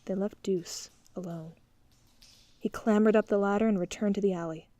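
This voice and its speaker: Woman narrator for a noir audiobook, mid pitch, steady reading, dry booth sound. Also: no room sound. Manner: even, measured storytelling. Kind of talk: scripted narration.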